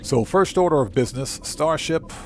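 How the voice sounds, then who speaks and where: A man's voice: fairly high pitch, lively intonation, normal speed, darkish tone, a little hiss or hum. A man livestreaming a video game, giving casual commentary and muttering to himself as he plays. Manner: muttering to himself while playing.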